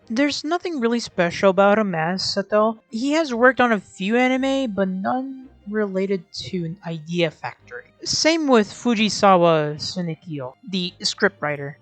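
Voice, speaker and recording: A woman's voice: mid-range voice, dry recording. A woman hosting a video essay, giving casual scripted commentary with wry, sarcastic asides and informal, sweary phrasing.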